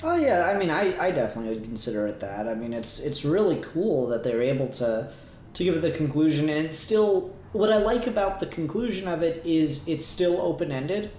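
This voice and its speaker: A man's voice: fairly high pitch, expressive, brisk, a little mellow. A man co-hosting a casual review discussion, rambling a little as he thinks aloud. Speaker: podcast co-host. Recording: roomy echo, faint background noise.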